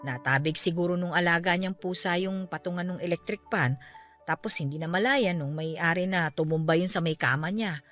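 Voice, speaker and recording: A woman's voice: lowish voice, normal speed, fairly bright tone, close to an everyday voice. A woman acting a caring, grieving middle-aged aunt in a Tagalog radio drama, in natural conversational dialogue. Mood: resigned, practical. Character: grieving aunt who just lost her parents, caring, steadfast. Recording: music bed under the voice. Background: background music.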